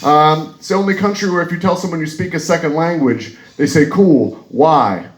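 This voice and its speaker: American man, stand-up comedian, higher-pitched voice, conversational and wry, echoey venue, noticeable noise. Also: moderate pace. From United States. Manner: conversational, wry, punctuated by "you know". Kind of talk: live stand-up comedy set. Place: live comedy venue in Munich. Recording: echoey live room with clear noise.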